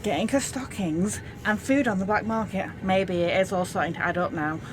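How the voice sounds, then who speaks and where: A woman's voice: mid-range voice, normal speed, very noisy, lightly acted. A woman playing a curious, suspicious wartime factory worker in an amateur radio play, eager and gossipy.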